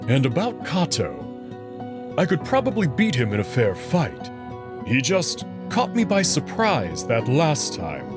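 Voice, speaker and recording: Man voicing an assured, lively wolf noble over music. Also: mid-range voice, measured pace. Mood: teasing, defensive. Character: confident, teasing male alien wolf noble, protective. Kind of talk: visual novel character acting. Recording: music behind the voice.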